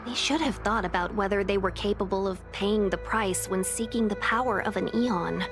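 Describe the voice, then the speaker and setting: A judgmental, solemn immortal woman in a video game, voiced by an actress. She speaks in a measured, formal, ominous way at medium pitch, with music clearly behind. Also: lightly acted. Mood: cool, judgmental. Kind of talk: video game character acting.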